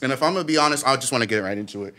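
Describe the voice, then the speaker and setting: A man's voice: medium pitch, very fast, bright, forceful tone. A man co-hosting a podcast in a small studio, joking boldly and laughing as he asks questions. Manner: joking, bold, laughs often. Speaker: podcast co-host. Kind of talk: casual podcast banter. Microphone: desk mic on stand.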